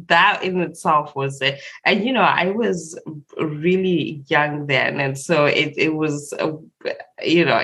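A young adult woman from Zimbabwe telling travel stories in casual chat, laughing often and pausing with many fillers. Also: deep voice, fairly bright tone.

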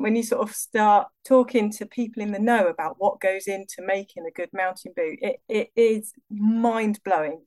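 A woman co-hosting a podcast in a casual, chatty and effusive style with emphatic wording. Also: a little high. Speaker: podcast co-host. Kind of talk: casual chat.

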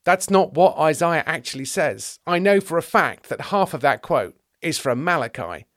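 A man's voice: on the high side, expressive, normal speed, fairly bright tone, no echo, clean studio sound, pitched above the performer's own voice. A man playing a disdainful, nitpicking teacher of religious law in a comedy sketch. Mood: pedantic. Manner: nitpicking, sarcastic.

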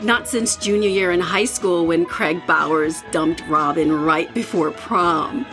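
A woman's voice: on the low side, fairly even intonation, a little slow, music in the background, close to an everyday voice. A woman playing an easygoing, curious young roommate with a casual, playful way of talking in an audio drama. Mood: amazed. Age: young adult. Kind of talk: horror audio drama dialogue.

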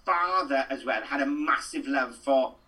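Bright-voiced Welsh man telling stories. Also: mid-range voice, measured pace, far from the mic. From Wales. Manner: animated, rambling storyteller with lots of restarts. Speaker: actor and guest. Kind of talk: chatty interview answers.

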